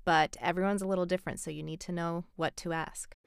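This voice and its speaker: A woman's voice: fairly low pitch, steady tone, fast talker, creaky, clean tone, no room sound, studio quality. A woman hosting a podcast and giving informative, casual advice as a coach. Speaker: podcast host, voice acting coach.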